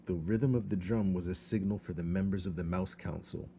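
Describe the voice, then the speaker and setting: A man narrating a children's storybook aloud in an expressive, dramatic read-aloud style. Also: deep voice, a little fast, creaky, slight background noise.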